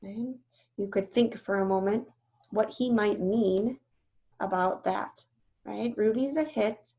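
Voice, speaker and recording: A woman's voice: mid-range voice, a little slow, warm tone. A woman teacher reading a storybook aloud and explaining it, instructive and often checking that listeners understand.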